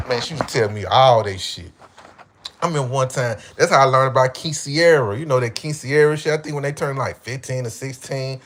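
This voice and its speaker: A man's voice: medium pitch, a bit bright. An American man giving a casual, opinionated monologue as an online commentator, speaking bluntly with heavy slang and swearing. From United States.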